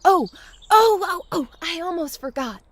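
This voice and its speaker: A woman's voice: very high-pitched, lively intonation, unhurried, no echo. A woman playing a friendly, helpful settler in an audio drama. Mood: eager, excited.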